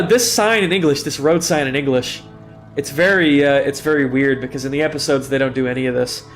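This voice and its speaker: A young man with a stuffy nose, tired and self-deprecating, rambling through casual commentary on a live game stream. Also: mid-range voice.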